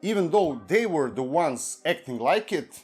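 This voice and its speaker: A man's voice: fairly high pitch, slightly rough, faint music behind. Serbian-accented man reading a script. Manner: measured, even, reads from a prepared script. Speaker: YouTube commentary host. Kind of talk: scripted commentary read aloud.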